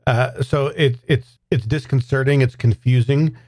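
A man's voice: medium pitch, fairly even intonation, measured pace, darkish tone, no room sound. Man in a dry room, playing tabletop. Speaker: tabletop game player. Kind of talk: casual in-game description and chat.

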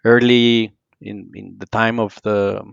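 A man from Colombia with a Colombian accent, speaking English as a second language in a casual interview, halting with many restarts. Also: fairly low pitch, flat delivery, vocal fry, smooth, no room sound, muffled recording. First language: Spanish. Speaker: podcast guest.

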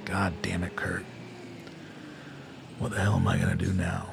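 An actor playing a gruff, grieving older army colonel with a gravelly voice in a radio play. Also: male voice, low-pitched, sing-song, normal speed, noisy background, full character voice. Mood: anguished.

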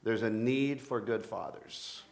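A middle-aged man preaching a sermon, a pastor with a deep voice who jokes playfully with his congregation. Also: slow, vocal fry.

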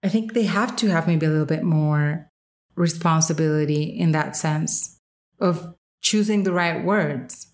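A woman's voice: low-pitched, a little slow, darkish tone. A woman hosting a solo podcast, giving opinionated commentary in an emphatic, candid and casual way. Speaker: podcast host.

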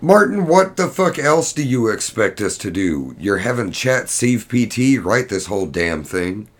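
Man putting on a gravelly, raised-pitch podcast co-host. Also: very animated, unhurried, heavily acted. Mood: irritated. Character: blunt, prickly male podcast co-host.

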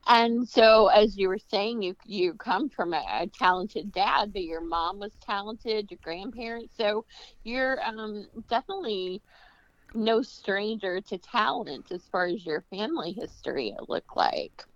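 A woman hosting an interview show, asking chatty, animated questions. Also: mid-range voice, a little slow, no room sound, muffled recording. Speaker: interview host.

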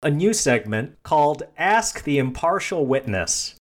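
A man's voice: fairly high pitch, big pitch swings, a little slow. A man hosting a casual film discussion in a jokey, teasing manner. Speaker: podcast host.

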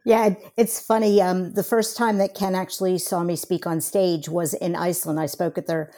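Middle-aged American woman, interview guest, mellow voice, talkative. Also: fairly low pitch, flat delivery, normal speed. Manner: chatty, upbeat, many fillers. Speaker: guest, HR professional. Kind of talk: casual interview talk.

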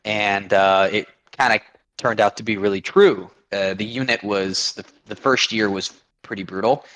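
A man's voice: fairly low pitch, normal speed, fairly bright tone, poor audio. A man in his twenties from Oregon, a guest on a podcast, telling his story in a rambling way with many restarts. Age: 20s. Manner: rambling, frequent restarts and fillers. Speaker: guest, army veteran. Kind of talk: casual podcast interview.

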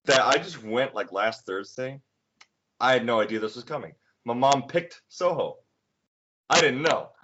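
A man's voice: medium pitch, animated, moderate pace, a bit bright, a little hiss or hum. A man in his late twenties in casual podcast chat, rambling with many fillers and restarts. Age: 20s. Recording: dull lo-fi sound.

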